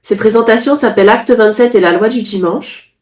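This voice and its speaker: A woman interpreting a sermon phrase by phrase into French. She restates promptly after each pause and now and then corrects her wording mid-line. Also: mid-range voice. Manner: quick restating, occasional self-corrections.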